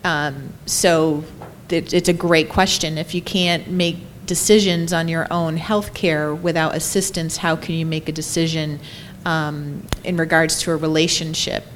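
A woman on a panel, calmly explaining how her memory care center handles cases. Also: low voice, unhurried, few pauses, slight background noise. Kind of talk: panel discussion.

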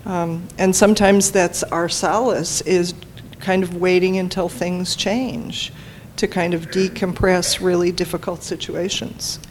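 A woman on a panel answering audience questions in a measured, hesitant way. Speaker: panel presenter, care staff. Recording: steady background noise, low rumble.

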